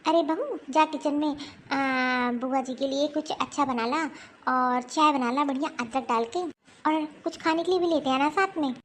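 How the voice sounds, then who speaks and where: A woman's voice: high-pitched, normal speed, a little hiss or hum, lightly acted. A woman playing a scolding mother-in-law. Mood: bossy. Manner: loud, exasperated scolding. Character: scolding middle-aged Indian mother-in-law, head of house. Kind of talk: homemade family skit acting.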